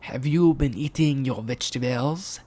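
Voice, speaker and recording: A man's voice: medium pitch, normal speed, a little mellow, close to an everyday voice. A man voicing a pompous, theatrical potato politician with a French accent in a parody cartoon story. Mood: effusive.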